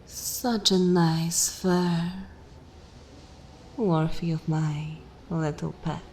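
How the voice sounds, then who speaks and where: Woman acting a dominant vampire mistress, low-pitched, haughty and predatory, soft whispers, dark fantasy ASMR, close mic with some background noise. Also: fairly even intonation, full character voice. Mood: commanding. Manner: slow, commanding, savouring, often dropping into whispers. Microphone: close microphone.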